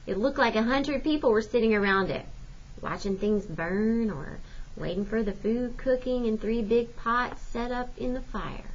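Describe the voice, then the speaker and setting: A woman reading a folksy first-person novel aloud as expressive storybook narration, like a teacher. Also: fairly high pitch, moderate pace, muffled recording.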